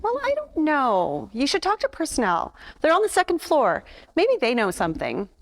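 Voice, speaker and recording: Woman playing a high, swooping young shopgirl. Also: wildly swooping pitch, normal speed, exaggerated voice. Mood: unsure, helpful. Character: cute, unsure young female department-store clerk. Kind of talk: 1940s radio-play acting.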